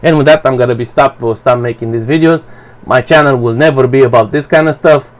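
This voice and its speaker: Man, Romanian native speaker, rambling, profane, halting, mid-pitched voice. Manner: blunt and profane, halting restarts. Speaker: vlogger. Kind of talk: rambling personal commentary.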